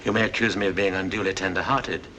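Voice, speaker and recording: An actor playing a suave, calculating villain in a 1940s film, talking in a formal, deliberate way. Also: male voice, deep voice, fairly even intonation, pushed and bright. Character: suave, calculating male villain, regretful yet ruthless. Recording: noisy old 1940s film soundtrack.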